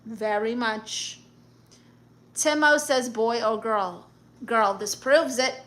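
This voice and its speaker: Brash Brooklyn woman streamer, bright voice. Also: a little high. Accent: New York. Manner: brash, sassy, joking. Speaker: livestream host. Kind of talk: casual live chat reading comments.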